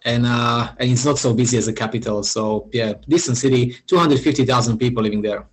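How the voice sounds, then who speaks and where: A man from Slovakia with a Slovak accent, answering interview questions in a halting way with many pauses and restarts. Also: mid-range voice, slightly rough. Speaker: podcast guest, founder.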